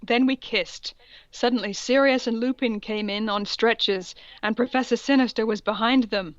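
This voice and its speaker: A woman with a fairly high voice reads fan fiction aloud on a podcast. She plays it up with mock drama and steps out of the text for amused comments. The sound is dry, close and a little dull and lo-fi. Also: fairly even intonation, normal speed, no room sound. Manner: mock-dramatic read-aloud, breaking off for asides. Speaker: podcast co-host.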